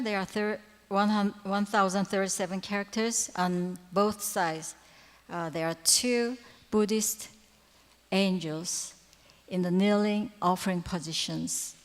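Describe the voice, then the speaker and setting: A woman giving a lecture at a conference, pausing often with fillers and correcting herself. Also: medium pitch. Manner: hesitant, frequent fillers and self-corrections. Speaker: conference speaker. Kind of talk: lecture presentation. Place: large conference hall. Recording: reverberant hall sound.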